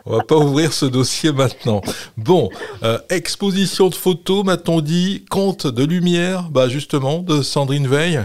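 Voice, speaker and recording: A man hosting a radio interview, asking questions in a measured, courteous way. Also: fairly high pitch, a little mellow. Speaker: radio host.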